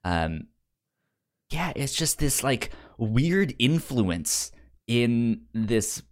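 Man chatting haltingly, repeating syllables. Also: medium pitch, sing-song. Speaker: film podcast co-host. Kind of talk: casual film discussion.

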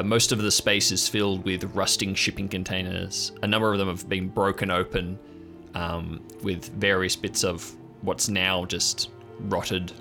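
Male game master, measured improvised narration, low and crisp-edged, light music underneath. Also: sharp tone. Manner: halting, measured descriptive delivery. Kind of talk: improvised scene narration for audio drama.

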